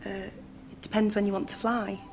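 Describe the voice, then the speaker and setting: A woman's voice: medium pitch, flat delivery, normal speed, darkish tone, soft background music, close to an everyday voice. An actress playing a courteous but doubtful travel agent in an audio drama, businesslike and slightly hesitant with her questions. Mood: polite, doubtful. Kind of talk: naturalistic audio drama acting.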